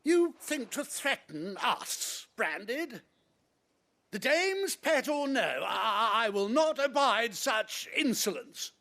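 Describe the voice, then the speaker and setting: A pompous British nobleman for a video game, pitched extremely high and sharp. He is theatrical and cruel, savouring words slowly with haughty disdain. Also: sing-song, vocal fry, heavily acted. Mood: affronted.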